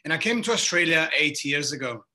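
Bright-voiced Italian man. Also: a little high, animated. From southeast Italy. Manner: searching for words, pausing. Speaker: guest, bartender. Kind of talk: casual podcast chat.

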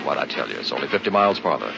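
An actor playing a confident young newlywed husband in a 1960s radio drama, teasing and brushing off worries. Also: male voice, a bass voice, sing-song, racing, gravelly, noisy background, heavily acted. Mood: breezy, reassuring. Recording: dull vintage 1960s radio recording, music.

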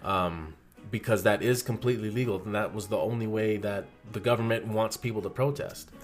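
Low-voiced man explaining earnestly. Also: brisk, faint music behind. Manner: earnest, steady, explains at length. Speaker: podcast co-host. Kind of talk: casual podcast chat.

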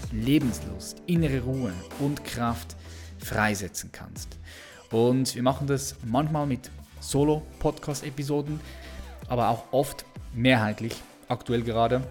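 Male podcast host, emphatic, list-heavy, with a light music bed. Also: mid-range voice. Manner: upbeat, emphatic, sales-like delivery with lists. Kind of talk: enthusiastic podcast introduction and interview.

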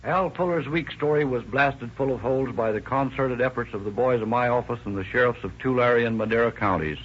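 A man's voice: mid-range voice, fairly quick, natural voice. A man playing a lawman who sums up the outcome of a case in a 1930s radio drama, with a muffled old recording and faint noise. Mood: matter-of-fact. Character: male lawman summing up a case. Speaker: sheriff narrating the case outcome.

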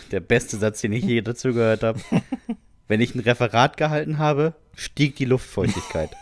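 A man's voice: mid-range voice, big pitch swings, a little slow, pauses often. A man co-hosting a podcast, reading out listener stories in an amused, informal way. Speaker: podcast co-host.

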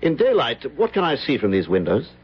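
A man acting in an old-time radio drama as an earnest, courteous gentleman, narrating and speaking in a formal, theatrical manner. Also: medium pitch, huge pitch swings, brisk, slightly rough. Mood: polite, curious. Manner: formal, theatrical, solemn, hesitant. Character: earnest, courteous gentleman recounting a haunting memory. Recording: dull old radio broadcast, music behind.

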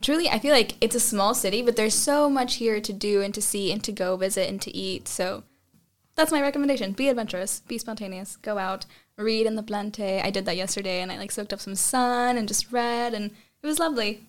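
A woman's voice: mid-range voice, brisk. Upbeat woman podcast co-host. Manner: enthusiastic, upbeat recommending. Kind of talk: casual group podcast chat.

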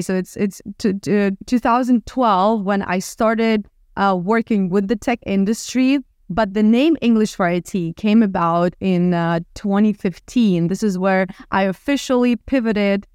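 Lively Ukrainian-accented woman, clear and dry. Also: a little high, dry recording. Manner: lively, many restarts and fillers. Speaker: guest entrepreneur. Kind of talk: casual interview talk.

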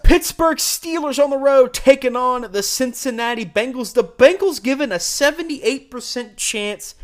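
A man's voice: sits high, a bit bright. An American man hosting a solo sports show, giving blunt, opinionated commentary and game predictions.

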